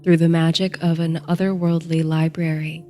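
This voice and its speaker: A woman with a warm, slightly low voice narrates a calming bedtime story in the manner of a guided meditation. She speaks very softly and unhurriedly, with breathy phrase endings. Quiet music plays behind her. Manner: slow, soothing, unhurried delivery. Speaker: host and narrator of a sleep story series. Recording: soft music under the voice. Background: soft music.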